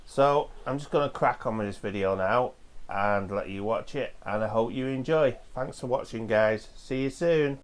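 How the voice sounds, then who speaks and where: A hoarse man with a cold, hosting a casual repair walkthrough in a home workshop, explaining each step in a chatty, rambling way. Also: medium pitch, moderate pace, slight background noise. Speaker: host of a retro computer repair channel.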